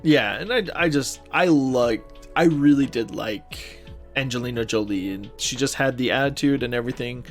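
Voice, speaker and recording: A man's voice: mid-range voice, normal speed, faint music behind. A man co-hosting a podcast, chatting casually and informally about films and games with gushing opinions. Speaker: podcast co-host.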